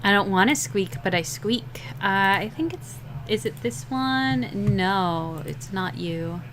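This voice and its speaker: A young woman with a slightly rough, scratchy voice, rambling and laughing at herself in a casual chat while drawing, short on sleep. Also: medium pitch, expressive, slow pace, a bit bright. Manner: rambling, giggly, self-deprecating. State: sleep-deprived. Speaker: digital artist streaming a drawing session.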